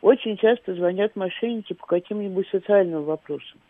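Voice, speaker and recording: A woman in her nineties calling in by phone, telling a story briskly and with dry humor. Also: mid-range voice, dry recording. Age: 90s. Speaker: caller. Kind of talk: phone-in chat. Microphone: telephone. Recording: tinny phone line.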